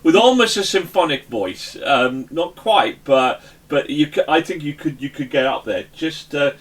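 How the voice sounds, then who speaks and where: A man's voice: a little high, expressive, normal speed, fairly bright tone. A man hosting a casual interview in a jokey, rambling way, often repeating himself. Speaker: interview host.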